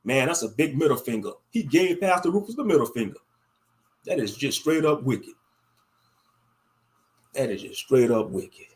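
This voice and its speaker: A man's voice: mid-range voice, expressive, normal speed, pauses often, slightly rough. A man with a Louisiana accent talking casually as a livestream host, colloquial and given to repeating phrases for emphasis. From Louisiana, United States. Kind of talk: casual livestream commentary reading viewer comments.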